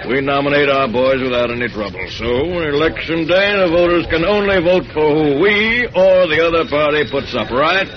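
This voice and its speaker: A man's voice: on the high side, normal speed, few pauses, a crowd in the background, natural voice. An actor playing a crooked, calculating middle-aged American political boss, with slangy, dismissive tough-guy talk in a 1940s radio drama. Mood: smug. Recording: muffled old radio recording, busy room murmur.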